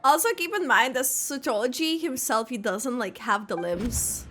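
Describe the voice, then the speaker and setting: A woman game streamer giving casual reaction commentary, halting as she thinks aloud. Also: a little high, fairly bright tone, slight background noise. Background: game music.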